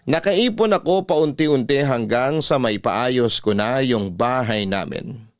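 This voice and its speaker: Male Filipino radio host, mid-pitched, measured storyteller reading letters, dry studio sound. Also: dry recording. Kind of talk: scripted dramatic reading of a listener's letter.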